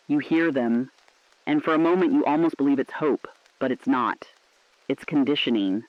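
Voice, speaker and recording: Dull lofi dry recording, guy acting as resistance radio reporter, mid pitch, earnest, sombre, defiant, poetic drama. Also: no room sound, natural voice. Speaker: radio correspondent. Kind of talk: scripted dramatic radio monologue. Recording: muffled, lo-fi radio-drama sound.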